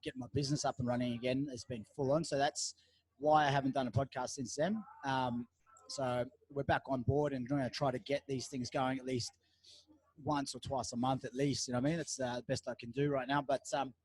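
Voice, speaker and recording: A man hosts a relaxed podcast from a cafe table. His voice is a little husky and sits at an ordinary pitch. He wanders through his points with plenty of fillers and self-corrections. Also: brisk, low-quality recording. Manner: rambling, lots of fillers and restarts. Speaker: podcast host. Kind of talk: casual interview chat.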